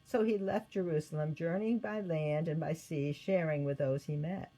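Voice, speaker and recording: Female storybook narrator: lowish voice, soft-edged and a little husky, expressive scripted read. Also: normal speed, low-quality recording. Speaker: storybook reader.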